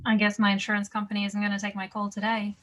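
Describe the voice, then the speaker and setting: A woman's voice: mid-range voice, monotone, fast, fairly bright tone, lightly acted. Brief: actress as practical female survivor, understated dry manner, mid-range voice, script read. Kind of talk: table read of a TV pilot script.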